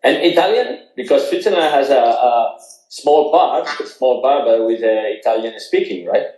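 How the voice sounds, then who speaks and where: A man with a Spanish accent hosts a casual chat in English as a non-native speaker, haltingly and often repeating his phrases. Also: mid-range voice, lively intonation, a little mellow. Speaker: podcast host interviewing an athlete. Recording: roomy, echoing sound.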